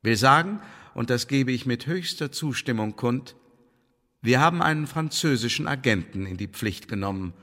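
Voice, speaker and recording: A male actor playing an imperious secret service interrogator in a German radio play, pompous and sardonic, with a husky voice. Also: medium pitch, lively intonation, moderate pace, a bit bright, close to an everyday voice. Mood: scornful, superior. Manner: pompous officialese mixed with sardonic colloquial jabs. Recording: reverberant hall-like radio drama room.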